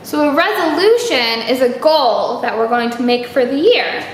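A woman's voice: high voice, animated, moderate pace. A young woman hosting a children's storytime, chatting and reading aloud in an exaggerated, encouraging way with questions to the listener. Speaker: library storytime host. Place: small indoor room. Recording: echoey room with faint background noise.

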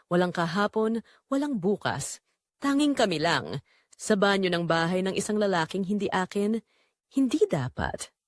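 A woman's voice: medium pitch, no room sound, clean studio sound. A woman with a Filipino accent reading a first-person romance drama as its narrator, in a scripted dramatic storytelling style.

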